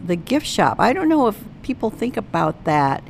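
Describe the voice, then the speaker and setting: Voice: mid-range voice, very animated, moderate pace, creaky, slight background noise. A radio show host leading a casual, folksy interview.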